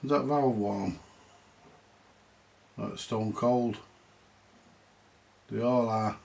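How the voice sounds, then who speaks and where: A man's voice: fairly low pitch, very slow, creaky, a little mellow. A man with a British accent giving casual, hands-on repair commentary, thinking aloud in short, plain-spoken remarks as he works. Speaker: vintage radio repairer. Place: indoors at a repair workbench. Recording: noticeable background noise with clicks.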